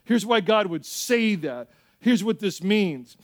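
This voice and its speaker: A man preaching as a pastor in an animated, conversational way, repeating phrases for emphasis and telling humorous personal stories. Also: high-pitched, slow. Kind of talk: sermon with personal anecdotes and acted-out dialogue.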